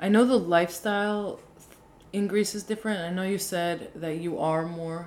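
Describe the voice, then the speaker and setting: A woman interviewing casually, relaxed and full of yeahs and mm-hmms. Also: medium pitch, fairly quick.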